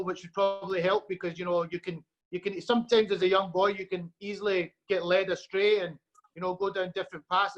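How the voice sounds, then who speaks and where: High-voiced host, dull call audio. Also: male voice, fairly bright tone. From Scotland. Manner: friendly, unhurried, many fillers. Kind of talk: video-call interview.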